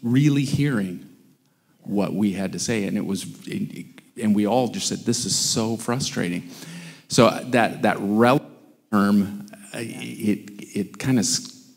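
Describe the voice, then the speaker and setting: A man in his sixties from Canada sits in the audience and speaks into a handheld mic, searching for his words with frequent pauses and restarts. His voice is on the low side. A long reverb from the large hall trails behind him. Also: creaky. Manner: hesitant, searching, many fillers and restarts. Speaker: audience member. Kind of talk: comment from the floor. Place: seated in a large auditorium audience.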